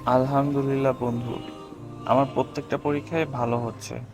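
A devout young male cartoon student, voiced by a man, talks to his friend. He is agreeable and earnest, with scripted lines at an average pitch. A music bed is clearly audible under the voice. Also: level tone, fairly quick, close to an everyday voice.

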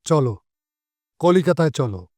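A man's voice: fairly high pitch, lively intonation, a little slow, dark tone, no echo, clean studio sound. A man playing a worried, simple village father in an audio drama. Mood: resolved. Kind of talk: Bengali audio drama acting.

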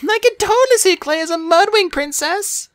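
Young female dragon, storybook character, very high-pitched, cheerful, dreamy, eager, animated. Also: normal speed, no echo, clean studio sound. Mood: playful. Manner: earnest, eager, bubbly.

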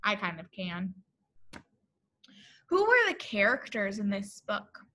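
A young woman reading a picture book to children as a teacher, in an expressive, exaggerated way, often addressing her young listeners with questions. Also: mid-range voice, takes it slowly. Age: young adult. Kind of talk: animated children's story read-aloud. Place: classroom, indoors.

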